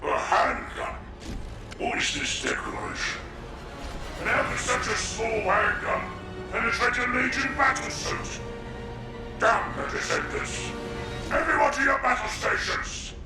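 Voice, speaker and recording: A man's voice: very high voice, unhurried, gravelly, long pauses, heavily acted. A man voicing a pompous, hot-tempered alien military commander in a video game, bombastically barking orders. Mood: angry, contemptuous. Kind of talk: video game villain acting. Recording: cavernous echo, distant, under loud music.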